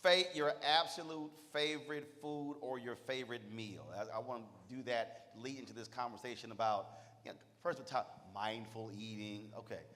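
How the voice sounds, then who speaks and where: A man's voice: mid-range voice, fairly even intonation, normal speed, fairly bright tone. Joking man in an echoey hall. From Texas. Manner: loose, teasing, drawling Southern talk. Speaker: panel moderator and CEO. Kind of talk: joking panel moderation. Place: stage in a large hall. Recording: reverberant hall sound.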